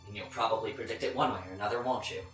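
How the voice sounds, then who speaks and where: A woman's voice: rumbling low, steady tone, rapid-fire, fairly bright tone. A woman playing a menacing supernatural entity that taunts its victim in an audio drama. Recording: echoey, distant voice over faint music.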